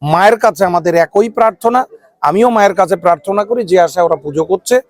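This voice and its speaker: A man with an Indian Bengali accent giving an impromptu interview speech in short, halting phrases as a local guest. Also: high-pitched. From West Bengal, India.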